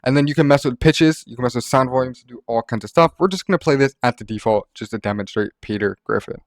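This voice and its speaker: A man's voice: medium pitch, quick pace, no echo, studio quality. A man narrating a casual gaming tutorial in an informal, rambling way, like a content creator talking to followers.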